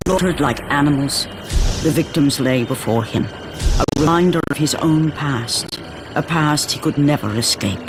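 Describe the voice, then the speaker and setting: A man playing the solemn narrator of a dark mythic video game story, grave and portentous, over music. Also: on the high side, measured pace, natural voice. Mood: grave, brooding. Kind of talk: dramatic scripted narration.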